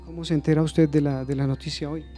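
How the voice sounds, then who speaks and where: Mellow Colombian man, slightly high voice. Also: flat delivery, fast, faint music behind. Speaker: news interviewer. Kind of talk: live broadcast interview.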